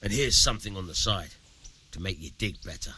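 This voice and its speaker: Sly gruff medieval miller, very animated. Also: male voice, low-pitched, fairly quick, a little hiss or hum, exaggerated voice. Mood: gruff advice. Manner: sly, persuasive, coarse-tongued. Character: shrewd, amoral medieval village miller, gruff man. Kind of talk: video game dialogue acting.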